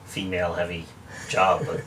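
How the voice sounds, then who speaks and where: A man's voice: deep voice, completely flat delivery, moderate pace, fairly bright tone. A man from Ireland in his forties with a Dublin Irish accent, telling his story in casual interview chat with many restarts. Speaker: guest. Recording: distant mic, faint noise.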